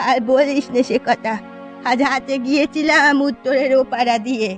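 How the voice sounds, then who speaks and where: A woman's voice: high voice, steady tone, moderate pace, faint music behind, lightly acted. A woman voicing an elderly, fretful and grumbling village aunt in a cartoon fairy tale.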